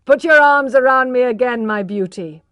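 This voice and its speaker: A brash, reckless young gentleman from a storybook, put on by a woman reader. He talks loud and bossy, with a pushy, mocking edge. The sound is close and dry, with no room around it. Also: medium pitch, expressive, measured pace, no echo, natural voice. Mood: bullying.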